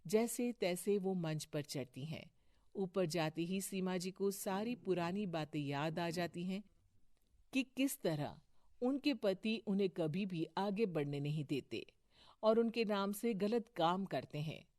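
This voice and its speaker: A woman's voice: lowish voice, animated, a little slow, darkish tone, no room sound, clean studio sound. A woman narrating a scripted moral story.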